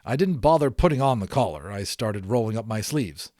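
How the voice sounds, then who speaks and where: A man narrating a storybook aloud in an expressive, explanatory way, as an audiobook reader. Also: lowish voice, fairly quick, no echo, clean studio sound.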